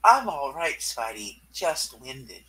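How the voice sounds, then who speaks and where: A performer voicing a bold, flirty female costumed thief with a playful, breathy drawl in a comic book puppet reading. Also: mid-range voice, very animated, slow, a bit bright, rough recording. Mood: plucky.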